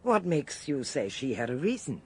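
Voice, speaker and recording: A shrewd older English lady detective in a radio mystery. Her voice is low and husky, rising and falling expressively as she probes with brisk authority. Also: sing-song, darkish tone, heavily acted. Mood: brisk, inquisitive. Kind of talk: period radio drama acting.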